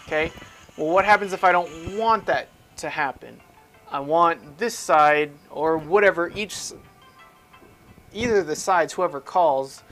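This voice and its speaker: A man with a voice pitched a little above average leads a casual, hands-on technical lesson. He talks through each step as he does it, often posing a question and then answering it himself. Music plays behind his voice. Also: a bit bright, poor audio. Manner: informal, self-questioning, explains aloud while demonstrating. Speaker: instructor. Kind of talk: casual step-by-step tech tutorial.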